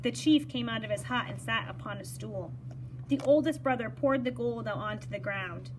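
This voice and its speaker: A woman reading a children's story aloud in an animated way, acting out dialogue and pausing to explain words. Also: a little high, background noise. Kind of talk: expressive read-aloud with brief explanations for children.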